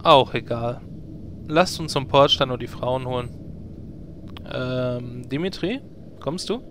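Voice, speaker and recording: A man's voice: mid-range voice, slow pace, a bit bright, slight background noise. A man acting a sensible, blunt, matter-of-fact adventurer in a comedic fantasy game audio drama.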